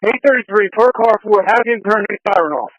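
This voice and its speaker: High-voiced policeman on lo-fi radio. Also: brisk, dry recording. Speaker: police officer in the field. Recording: dull lo-fi police scanner radio.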